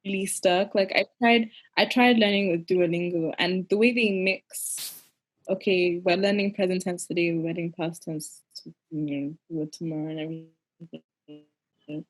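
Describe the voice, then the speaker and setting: A young woman, a podcast guest, chatting in an animated, giggly way and peppering her speech with "like". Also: on the low side, unhurried, low-quality recording. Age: young adult. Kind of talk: casual interview chat.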